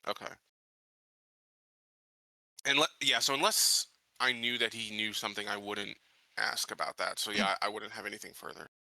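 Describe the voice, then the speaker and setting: A man's voice: on the low side, steady tone, normal speed, gravelly, pauses often, sharp tone, dry recording. A man playing a tabletop game, mixing improvised roleplay with casual table talk and hesitantly thinking aloud.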